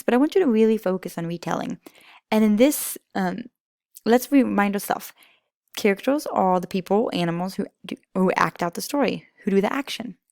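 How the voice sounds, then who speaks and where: Gravelly-edged woman teaching young children. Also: mid-range voice, darkish tone, no echo, clean studio sound. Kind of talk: informal lesson for young children.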